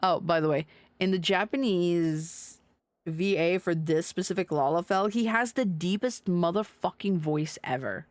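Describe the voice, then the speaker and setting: A woman's voice: on the low side, a little slow, no echo. A woman livestreaming a game with casual, playful, sweary commentary.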